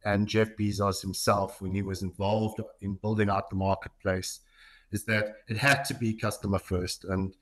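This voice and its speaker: Middle-aged South African male podcast guest, lower-pitched voice, conversational interview delivery. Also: fairly bright tone. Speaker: podcast guest, marketing executive.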